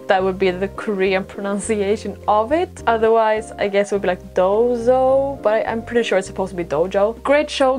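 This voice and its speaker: A young woman from Sweden, speaking as a non-native, talks to her viewers like a podcast host among friends. Her voice sits at a middle pitch. She tells stories casually, with self-deprecating asides, over faint music. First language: Swedish. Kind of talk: casual chatty storytelling to camera.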